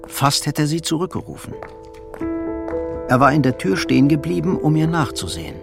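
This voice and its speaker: Narrator for literary radio drama: man, creaky mid-range voice, measured scripted delivery over faint music. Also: a little high, sing-song. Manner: measured, storytelling.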